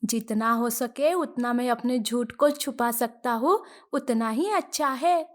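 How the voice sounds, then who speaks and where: Cartoon schoolboy played by a woman: poor, dutiful, anxious. High-pitched, unhurried, earnest delivery. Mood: worried, pleading. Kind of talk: Hindi moral-story cartoon dubbing.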